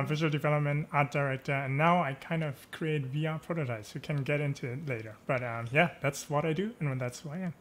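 A man's voice: a little high, fairly bright tone. A man in his thirties from Germany, a native German speaker, talking casually over a headset on a video call, with frequent chuckles and fillers. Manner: easygoing, chuckles often, many fillers and tag questions. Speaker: guest. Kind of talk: casual interview chat. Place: home room, video call.

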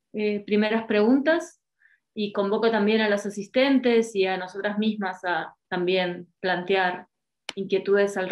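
A woman moderating a panel discussion on a video call from a home room, reading out questions from the chat and handing over the floor. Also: mid-range voice. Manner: reads audience questions, tags phrases with a questioning word.

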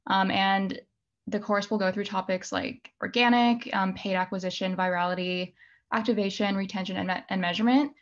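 A woman moderating a webinar, briskly running through housekeeping items with "um" fillers. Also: medium pitch, steady tone, muffled recording. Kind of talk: housekeeping announcements.

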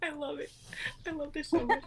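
A woman in her twenties with a fairly high voice chats casually. Other voices are clearly heard behind her on the call. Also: steady tone, a little fast, a crowd in the background. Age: 20s. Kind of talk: casual chat.

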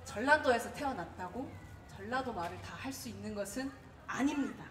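A woman's voice: a little high, fairly quick. Witty Jeolla woman on a stage. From Gwangju, South Korea. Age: 30s. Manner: witty, animated storytelling. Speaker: contest participant. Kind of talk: humorous prepared speech. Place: event hall. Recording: roomy hall, distant mic, faint noise.

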